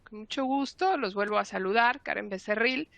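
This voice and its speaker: A woman from marketing, hoarse from illness, welcoming viewers to a webinar in a friendly, explanatory way with many fillers. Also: on the high side, normal speed, fairly bright tone, no room sound. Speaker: marketing presenter. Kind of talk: webinar host introduction.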